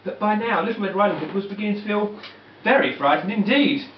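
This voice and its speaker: A woman's voice: mid-range voice, quick pace, far from the mic. A woman telling a children's story as an amateur puppet-show narrator, sometimes stumbling over her words. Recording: dull, noisy, echoey home-video from afar.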